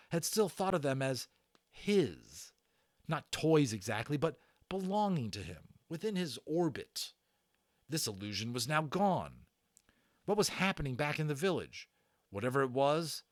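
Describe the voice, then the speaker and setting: Man giving an animated, dramatic story reading. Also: medium pitch, no echo, studio quality. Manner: theatrical, with stressed words and dramatic pauses. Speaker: audiobook narrator and channel host. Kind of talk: dramatic read-aloud storytelling of a children's novel.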